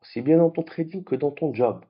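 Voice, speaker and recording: Animated man on a slightly dull recording. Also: a little high, brisk, husky. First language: French and Arabic. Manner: animated, conversational, with restarts. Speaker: trading channel host. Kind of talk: late-night vlog talk.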